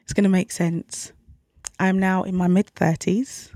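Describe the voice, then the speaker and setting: Thirties woman doing a solo parenting podcast, bit of vocal fry, dry close sound, chatty and thoughtful. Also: on the low side, flat delivery, measured pace, dark tone, dry recording. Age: 30s. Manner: conversational and reflective, openly self-questioning. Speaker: podcast host.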